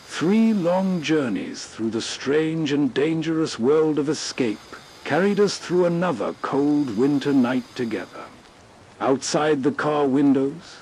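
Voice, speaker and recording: A man narrating as host in a scripted, unhurried late-night radio style. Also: mid-range voice, darkish tone. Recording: faint background noise.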